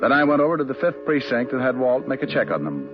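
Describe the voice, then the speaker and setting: A man's voice: mid-range voice, sing-song, quick pace, natural voice. A man playing a sardonic private detective who narrates and trades quips, in a 1950s radio drama. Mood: narrating. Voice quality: sardonic, clipped delivery. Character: wisecracking male private eye, dry and sardonic. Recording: dull lo-fi old radio broadcast with music.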